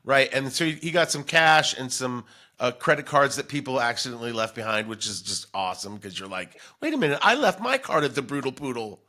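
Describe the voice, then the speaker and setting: A man's voice: mid-range voice, expressive, fairly quick, sharp tone. A man co-hosting a casual comedic talk show, telling stories in a rambling way and acting out bits of dialogue. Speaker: podcast co-host.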